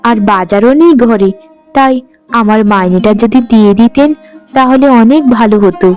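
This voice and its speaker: A woman's voice: on the high side, normal speed, a little mellow, clean tone, close to an everyday voice. A woman playing a dutiful young housemaid in a cartoon, polite and earnest in the way she talks. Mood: deferential, pleading. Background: faint music.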